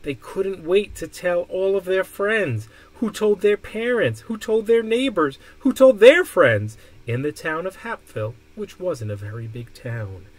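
A man reading a children's picture book aloud expressively, with deliberate, emphatic phrasing. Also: sits high, lively intonation, no room sound, rough recording. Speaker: storybook reader. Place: indoor room.

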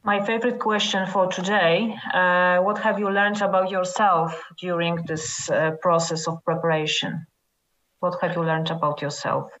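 A woman on an interview panel asking questions over a video call, measured with hesitant fillers. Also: lowish voice, steady tone, a bit bright. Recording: dull lo-fi call audio.

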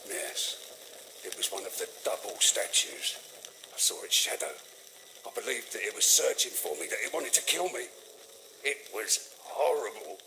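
Low-voiced, haunted, broken man. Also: animated, noisy background. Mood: shaken. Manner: weary, halting, self-pitying. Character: frail, haunted male former explorer, fearful and defeated. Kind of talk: video game dramatic acting.

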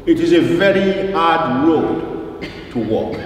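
A man's voice: fairly high pitch, expressive. Man preaching in a very echoey church. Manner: emphatic, deliberate, repeats phrases for weight; questions the listeners directly. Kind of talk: sermon with scripture quotation and rhetorical questions. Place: large reverberant church, indoors. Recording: very echoey church, distant mic, faint noise.

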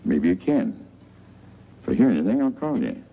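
Folksy, gossipy small-town newspaperman, low-pitched and teasing, 1940s movie sound. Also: sing-song, normal speed, slightly rough, exaggerated voice. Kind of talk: 1940s film acting. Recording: dull, noisy old film soundtrack.

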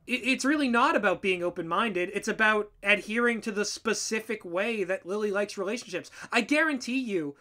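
A man speaks with a bright, sharp-edged voice in casual co-host commentary. He sounds emphatic and exasperated, with a sarcastic way of making his points. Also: sits high, normal speed, hardly any pauses. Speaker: co-host and writer giving commentary.

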